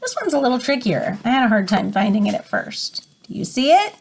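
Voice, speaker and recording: A woman's voice: a little high, big pitch swings, fairly quick, slight background noise. A woman reading an educational picture book aloud as a teacher, explaining and prompting listeners as she goes. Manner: explanatory, stops to define words and prompt listeners.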